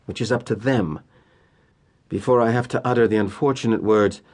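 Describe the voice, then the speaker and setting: An actor with an American accent playing a cynical, polished middle-aged corporate executive in an audio drama monologue, wry and deliberate with emphatic pauses. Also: male voice, mid-range voice, steady tone, close to an everyday voice. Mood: coolly reflective. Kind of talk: theatrical first-person dramatic monologue.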